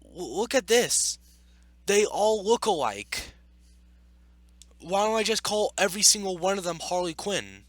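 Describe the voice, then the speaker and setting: A man's voice: high voice, expressive, measured pace, pauses often, fairly bright tone, dry recording. A man giving casual reaction commentary as he reads, in an exasperated, argumentative way. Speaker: YouTube commentator.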